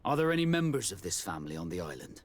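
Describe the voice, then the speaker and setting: An actor playing a searching, formally spoken sailor companion in a video game. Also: male voice, low voice, sing-song, fast. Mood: earnest. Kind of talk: dramatic video game dialogue. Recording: clear outdoor ambient noise behind.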